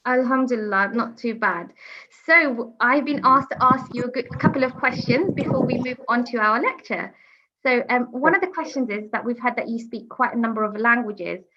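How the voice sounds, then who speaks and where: A woman hosts an online lecture, talking in a halting way full of ums and pauses. Her voice sits fairly high. The sound is dull and lo-fi, like a video call. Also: pushed and bright. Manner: hesitant, many ums. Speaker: host.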